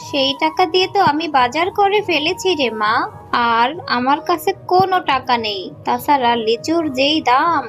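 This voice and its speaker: A woman's voice: sits high, fairly bright tone. A woman playing a poor, worried cartoon mother fox who speaks in a plaintive, motherly way. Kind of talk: cartoon dubbing.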